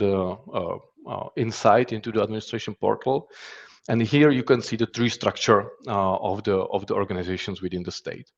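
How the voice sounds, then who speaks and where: Czech guy doing a conference talk, lowish voice, hesitant and repeats himself, kinda dull muffled sound. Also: gravelly. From Czech Republic. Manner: hesitant, repeats words and phrases. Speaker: threat intelligence specialist giving a conference talk. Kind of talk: conference presentation with demo.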